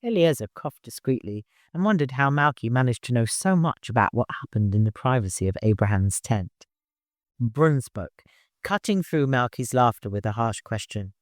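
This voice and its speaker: Guy doing audiobook narration, measured storytelling, medium pitch, really dry sound. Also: animated, dry recording.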